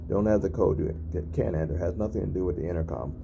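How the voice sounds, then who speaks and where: A man's voice: low-pitched, very fast, creaky, warm tone, slight background noise. A man giving a casual on-site demonstration, matter-of-fact and repetitive, often checking in with short tag questions. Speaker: narrator documenting an intercom test. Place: at a building's entry intercom panel.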